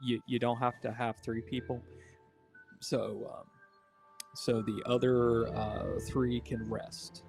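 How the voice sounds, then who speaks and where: A man acting as game master of a tabletop game, explaining things in a relaxed way with plenty of ums and uhs. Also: mid-range voice, slow pace, gravelly, music in the background. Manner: relaxed, filler-heavy explaining.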